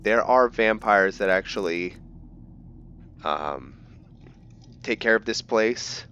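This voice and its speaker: A man's voice: on the low side, lively intonation, slow pace, bright, forceful tone. A man giving casual gameplay commentary as a host, rambling as he explains things step by step. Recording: dull lo-fi mic with faint noise.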